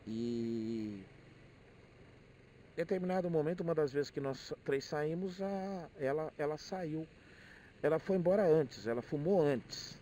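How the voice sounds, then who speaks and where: Brazilian guy rambling casually, repeats words as he thinks, soft mellow tone, bit of road noise behind. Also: on the high side, lively intonation, a little slow. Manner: hesitant, repeats words while thinking aloud. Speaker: motorcyclist vlogger. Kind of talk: casual rambling monologue, personal reflections.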